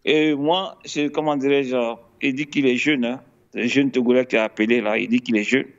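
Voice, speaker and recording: A man's voice: mid-range voice, fairly even intonation, moderate pace, lo-fi sound. A man commenting in a radio debate, talking in a loose, rambling way and addressing others familiarly. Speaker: panelist.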